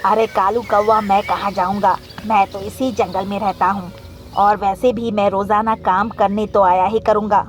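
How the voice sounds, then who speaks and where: A man's voice: high-pitched, flat delivery, normal speed, lightly acted. A man playing a kind, humble, hardworking pigeon in a cartoon story, speaking politely and earnestly. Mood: pleading, earnest.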